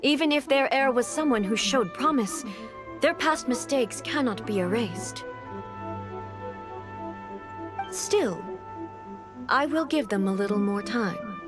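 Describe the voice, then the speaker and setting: A woman voicing a reserved, resolute goddess ruler in a video game, speaking formally and with measured solemnity. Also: a little high, expressive. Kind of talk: video game character acting. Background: background music.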